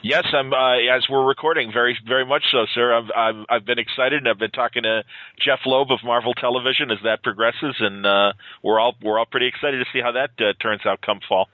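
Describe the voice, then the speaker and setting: Man, medium pitch, bright crisp-edged tone, dry sound, effusive podcast host, casual chat. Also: fairly even intonation, dry recording, low-quality recording. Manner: effusive, quick to exclaim.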